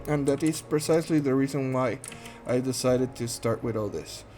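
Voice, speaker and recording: A man in his thirties from Guatemala, speaking English with a Guatemalan Spanish accent, introducing himself in a shy, halting way full of ums and restarts. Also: medium pitch, faint music behind. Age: 30s. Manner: shy, soft-spoken, earnest. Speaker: gaming channel host. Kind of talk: casual self-introduction while playing a game.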